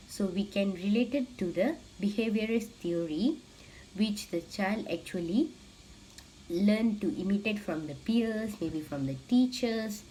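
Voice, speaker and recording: A woman's voice: fairly low pitch, dark tone, slight background noise, poor audio. A young woman explaining points in a student presentation, with some hesitant restarts. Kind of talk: class presentation.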